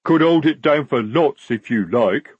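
A man voicing a big, slow-witted, willing female troll soldier in an audiobook, with simple, literal delivery. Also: medium pitch, expressive, husky, no echo, highs cut off, voice pushed up. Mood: cheerfully willing.

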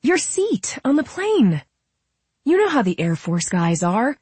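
A woman narrator acts out an eager young woman in an audiobook, pitched high and light. She sounds bouncy and persistent, pleading with urgency in casual phrasing. The recording is dry and close. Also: sing-song, takes it slowly, a little mellow, slightly rough, no room sound, exaggerated voice. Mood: pleading, urgent. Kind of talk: audiobook character dialogue.

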